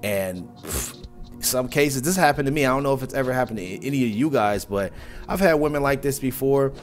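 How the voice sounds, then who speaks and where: A man's voice: mid-range voice, expressive, brisk, soft background music. Laid-back young man mocking on mic. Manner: casual and mocking, says "bro" often. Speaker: reaction channel host. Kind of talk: casual reaction commentary. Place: indoors, small room at home. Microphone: wired earphone mic.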